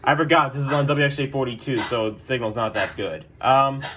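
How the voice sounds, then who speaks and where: A man's voice: medium pitch, brisk, background noise. Rambling young man reviewing his gear. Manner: rambling, joking, many fillers. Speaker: weather radio collector. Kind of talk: casual review vlog. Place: his bedroom.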